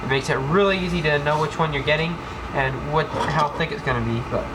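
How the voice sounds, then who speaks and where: A man's voice: fairly high pitch, a little fast. Guy with a cold talking thru gearbox parts, lots of ums, noisy home cam audio w clicks. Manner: rambling, many ums and restarts. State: has a cold. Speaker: airsoft gearbox tech demonstrator. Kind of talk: casual hands-on tutorial narration. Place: indoor room, work on floor.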